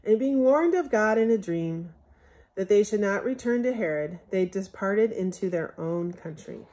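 A woman reading a Bible story aloud from a picture book in measured, scriptural phrasing, as a Sunday school storyteller. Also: mid-range voice, lively intonation, dark tone.

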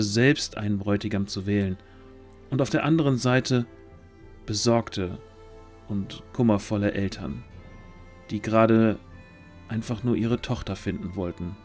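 A man's voice: lowish voice, darkish tone. A man narrating a scripted fantasy story as the narrator of an audio drama. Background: background music.

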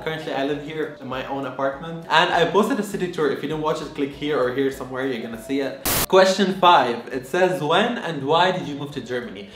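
A young man in his twenties from Lebanon, talking casually to the camera in a lively, chatty way with lots of fillers. He speaks from his bedroom. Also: fairly high pitch. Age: 20s. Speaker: vlogger. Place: bedroom, indoors. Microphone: camera in front, medium close. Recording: echoey room with faint music.

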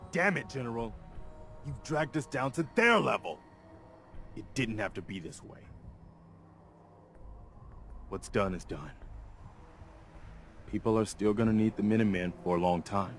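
An actor playing a principled, earnest militia soldier in a video game, with emphatic delivery. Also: male voice, fairly low pitch. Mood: resigned. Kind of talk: dramatic video game dialogue.

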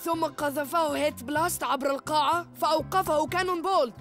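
Ten-year-old cartoon boy, high voice, brash, excitable, music behind. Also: fast talker. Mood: boastful. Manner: brash, exclamatory. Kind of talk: dubbed cartoon acting.

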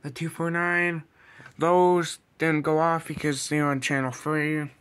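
A man giving casual, halting vlog commentary in a small room on a handheld camera, rambling through a list. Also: a little high, flat delivery, fairly bright tone, smooth. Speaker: weather radio hobbyist vlogger. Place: small bedroom, indoors. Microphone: handheld phone or camera.

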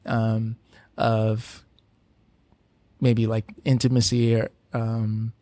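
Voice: mid-range voice, little pitch movement, drawn-out words, dark tone. A podcast host interviewing an artist, asking questions and backing the guest with short agreements. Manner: supportive, frequent short backchannels. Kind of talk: casual interview conversation.

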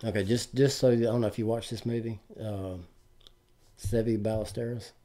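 A man's voice: lowish voice, level tone, moderate pace, warm tone. A man chatting casually as a podcast guest, laid-back and plain-spoken. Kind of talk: casual chat about golf.

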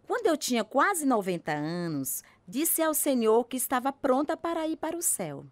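A woman with a Brazilian accent playing a gentle, devout grandmother of nearly a hundred in a radio drama. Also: high-pitched, very animated, normal speed, no room sound.

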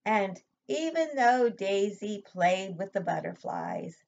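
An older woman leads story time for preschoolers as their teacher. She reads slowly and deliberately with a very lively, swinging pitch, pausing for simple questions. The home recording sounds slightly dull. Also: gravelly. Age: older, 60s or above. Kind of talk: picture-book story time for young children. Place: room at home, indoors.

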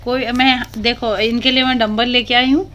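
A woman's voice: fairly high pitch, flat delivery, normal speed, sharp tone. A woman talking to her pet parrot at home in doting, sing-song baby talk. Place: living room at home, indoors. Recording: home phone video with background noise.

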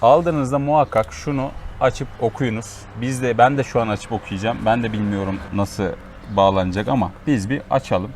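A man's voice: medium pitch, normal speed, hardly any pauses, darkish tone. A man hosting a casual hands-on gear demonstration, explaining and instructing as he chats. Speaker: vlog host showing motorcycle gear. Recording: clear ambient noise behind voice.

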